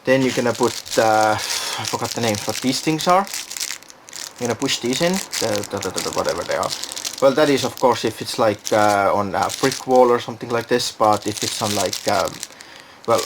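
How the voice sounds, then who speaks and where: A man's voice: on the low side, normal speed, noisy background. A man giving a casual, unscripted product demonstration, thinking aloud and hesitating as he goes. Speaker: product reviewer.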